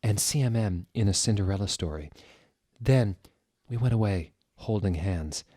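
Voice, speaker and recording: A man's voice: fairly low pitch, normal speed, warm tone, no echo, studio quality. A man giving a dramatic, comedic reading of fan fiction as its narrator. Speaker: reader.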